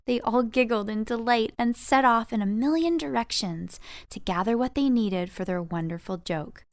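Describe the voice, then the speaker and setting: A woman narrating a scripted children's audiobook with expressive bedtime storytelling. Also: medium pitch, vocal fry, no room sound, studio quality.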